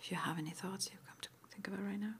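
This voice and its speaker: Whispered ASMR roleplay: woman as gentle, reassuring witch school interviewer, unhurried, lowish and creaky, faint background noise. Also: steady tone, lightly acted. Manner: soft, unhurried, reassuring, with murmured acknowledgements.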